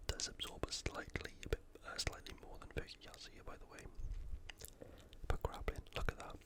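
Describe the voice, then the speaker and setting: Breathy whisper, ASMR sports preview, informal, opinionated, unvoiced. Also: a little fast. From United Kingdom. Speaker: host of an ASMR fight-preview show.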